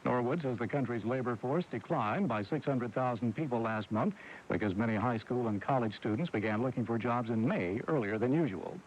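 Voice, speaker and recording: A man's voice: mid-range voice, a little mellow, muffled recording, a little hiss or hum. A man anchoring scripted radio news on an old AM broadcast recording. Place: radio studio.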